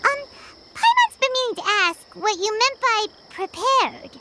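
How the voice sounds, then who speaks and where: A woman voicing a tiny, excitable childlike fairy companion in an anime game, with a squeaky voice and exclamatory manner. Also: unhurried, heavy background noise, heavily acted. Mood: curious, puzzled. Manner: refers to self in third person, exclamatory. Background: loud game music.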